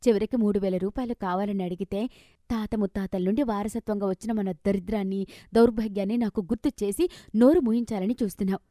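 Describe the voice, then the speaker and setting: A woman's voice: medium pitch, fast talker, warm tone, no room sound, clean studio sound, natural voice. Woman acting a bitter, frustrated young daughter. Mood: bitter complaint. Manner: complaining, emphatic.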